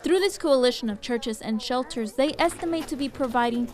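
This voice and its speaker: High-pitched woman news reporter. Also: brisk, darkish tone. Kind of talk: scripted news narration.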